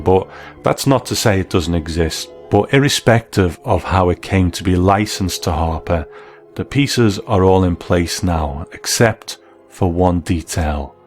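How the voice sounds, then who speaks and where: Very low-voiced man narrating. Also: darkish tone. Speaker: host. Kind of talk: scripted documentary narration.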